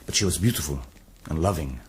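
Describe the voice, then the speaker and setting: A young Syrian-born warrior with a low, deep voice. He is proud and courteous, speaking earnestly in measured, formal phrases for a historical radio play. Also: animated, poor audio. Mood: proud, wistful. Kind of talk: radio drama acting.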